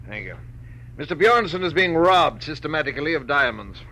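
A man's voice: on the high side, expressive, fairly quick, sharp tone, rough recording. An actor playing a precise, deferential secretary in his forties, very polite and formal, in a 1940s radio drama. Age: 40s. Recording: faintly hissy old radio recording.

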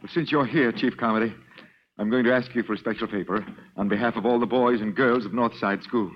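An actor playing a formal, courteous school doctor in a 1940s radio drama. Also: male voice, medium pitch, fairly quick, close to an everyday voice. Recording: dull old radio recording.

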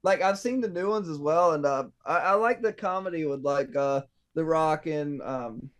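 Hesitant, slightly high-voiced young man. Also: moderate pace, fairly bright tone. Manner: hesitant, lots of ums and likes. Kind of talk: casual podcast chat.

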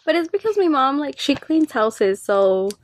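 A woman's voice: high voice, big pitch swings, moderate pace, no room sound. A young woman co-hosting a podcast in easygoing casual chat, quoting herself and slipping into Spanish. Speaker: podcast co-host.

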